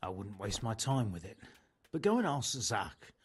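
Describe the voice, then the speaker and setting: A man's voice: fairly low pitch, very animated, moderate pace, a little hiss or hum. An actor playing a matter-of-fact medieval master craftsman in a video game, a little dismissive.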